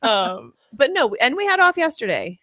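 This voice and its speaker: A woman's voice: sits high, big pitch swings, moderate pace, bright, forceful tone, no echo, clean studio sound. A woman talking casually in a group interview as a theatre director. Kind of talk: casual panel chat.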